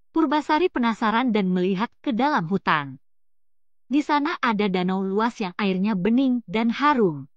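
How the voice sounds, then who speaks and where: A woman's voice: a little high, dry recording. A woman storyteller reads a scripted folktale. The recording is dry and close, with a slightly dull, lo-fi sound. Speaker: storyteller, narrator.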